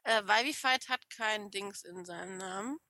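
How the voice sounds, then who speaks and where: A woman with a regional German dialect co-hosting a casual streamed podcast, playful and quick to laugh as she reads out chat names. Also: mid-range voice, sharp tone, no echo, studio quality. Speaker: podcast co-host.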